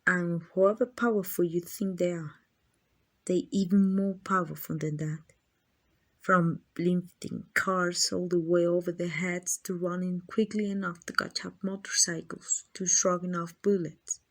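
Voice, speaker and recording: A woman's voice: on the low side, level tone, a little slow. A woman voicing an arrogant, possessive female vampire in an ASMR roleplay, teasing and condescending to the listener. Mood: coy, measured. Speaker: amateur voice actor.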